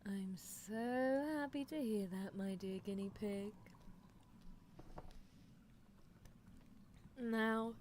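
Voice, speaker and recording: A woman's voice: high voice, darkish tone, a little hiss or hum, pitched above the performer's own voice. A woman playing a doting, possessive young female mad scientist in an ASMR roleplay, coaxing and theatrical, slipping toward whispers. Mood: delighted, teasing.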